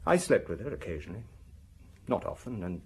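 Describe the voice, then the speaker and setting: An actor playing a weary, defensive husband under police questioning in a radio drama, speaking hesitantly and explaining himself in broken sentences. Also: male voice, low voice, very animated, fairly quick, darkish tone, breathy edge, full character voice. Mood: guarded.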